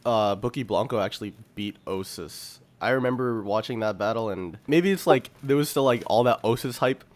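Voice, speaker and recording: Male co-host with a fairly low voice, conversational and informal, explaining casually. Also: normal speed. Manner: conversational, slangy, frequently says like. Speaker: podcast co-host.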